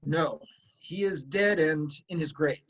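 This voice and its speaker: An actor playing a humble, cheery old messenger from Corinth in a formal, expressive reading of a Greek tragedy. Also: male voice, fairly high pitch, measured pace, low-quality recording. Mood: solemn.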